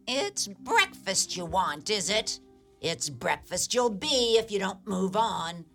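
A woman acting a tall, grisly ogre's wife in a radio play, bossy and dryly scolding but grudgingly soft-hearted. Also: mid-range voice, very animated, takes it slowly, vocal fry, soft background music, heavily acted. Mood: gruff. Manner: dry, scolding.

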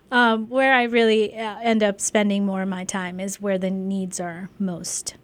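A woman's voice: medium pitch, steady tone, slow. A middle-aged woman from Sri Lanka, a physician and volunteer, answering interview questions in an earnest, enthusiastic way.

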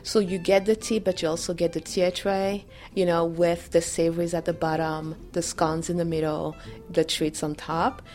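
Interview clip: woman business owner from Benin, low voice, emphatic and expressive. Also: normal speed, soft background music. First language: French. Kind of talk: interview soundbites.

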